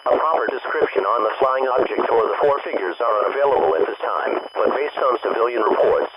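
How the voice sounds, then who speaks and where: A man's voice: medium pitch, brisk. Muffled noisy radio recording, man, emergency announcer, formal, impersonal, scripted alert. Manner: flat, impersonal reading.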